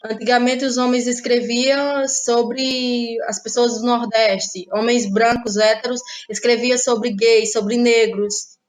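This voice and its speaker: High-voiced young northeastern Brazilian woman. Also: steady tone, slow pace, few pauses. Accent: Cariri Cearense, northeastern Brazilian. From Cariri, Ceará, Brazil. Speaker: guest playwright and actress. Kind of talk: reflective conversational interview.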